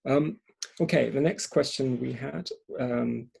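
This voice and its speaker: A man's voice: mid-range voice, unhurried, gravelly, darkish tone, husky, rough recording. A man hosting a panel discussion in spontaneous talk, hesitantly repeating and rephrasing as he goes. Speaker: event host and moderator.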